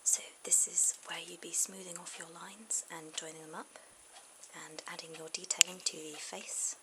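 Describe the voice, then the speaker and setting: A woman softly whispering an ASMR drawing tutorial in a breathy, informal way, pausing mid-thought. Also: fairly low pitch, flat delivery. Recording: very noisy amateur camera audio.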